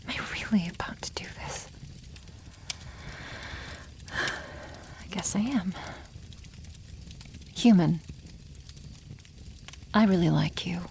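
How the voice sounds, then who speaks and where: Slow, hushed, creaky dragon woman, voiced by an actress. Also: fairly low pitch, exaggerated voice. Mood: hesitant, shy. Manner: slow, hushed, often breathy half-whisper, formal wording. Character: adult female dragon, protective, tender, secretly smitten. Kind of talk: ASMR roleplay, soft intimate monologue.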